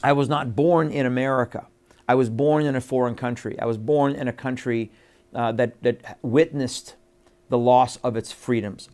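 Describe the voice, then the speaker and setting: A man's voice: mid-range voice, darkish tone. A middle-aged man from Iran hosting his own show, talking earnestly and emphatically to the viewer with many fillers and repeated phrases. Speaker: host. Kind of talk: earnest monologue to camera.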